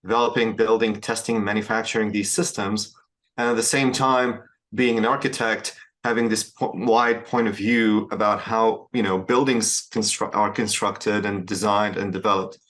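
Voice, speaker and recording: Man, lowish voice, measured, pauses and restarts, guest on an interview. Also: fairly even intonation, fairly bright tone. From Syria. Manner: measured, with frequent hesitations and restarts. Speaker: startup founder, guest. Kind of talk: casual interview answers.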